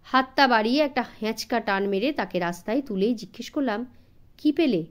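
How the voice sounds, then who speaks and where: A woman's voice: a little high, fairly quick. A woman, narrating a story expressively as an audiobook for children.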